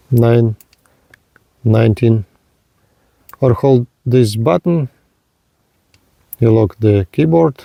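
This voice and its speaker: A man narrating a hands-on product demonstration in halting, simple step-by-step phrases. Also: mid-range voice, creaky, dark tone, dry recording. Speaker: product reviewer.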